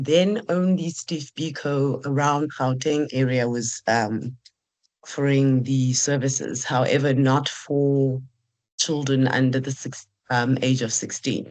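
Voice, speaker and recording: A hoarse woman, asking a long, earnest question on a video call. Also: rumbling low, a little mellow, low-quality recording. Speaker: meeting attendee asking a question. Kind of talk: question in an online meeting.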